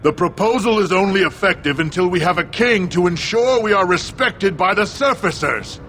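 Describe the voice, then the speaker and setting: Feisty dwarf noble, high sharp voice, pushy, fantasy game. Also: male voice, lively intonation, normal speed, a little hiss or hum. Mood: defiant. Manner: forceful, pointed. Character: combative dwarf noble arguing in assembly. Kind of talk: dramatic fantasy game acting.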